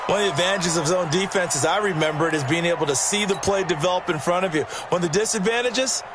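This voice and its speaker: A man voicing a high-voiced football color analyst. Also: quick pace, lightly acted. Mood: analyzing. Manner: explanatory, folksy breakdowns of strategy. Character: male football color commentator. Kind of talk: scripted sports analysis. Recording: noisy game audio. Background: heavy game noise.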